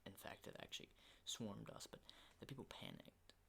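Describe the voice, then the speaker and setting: A man playing an unhinged, menacing survivor of a zombie apocalypse in a halting, intimate ASMR roleplay, in breathy whispers. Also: wildly swooping pitch, bright, forceful tone, slight background noise, exaggerated voice. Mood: grim, bitter. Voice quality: breathy whisper with low creaky undertones.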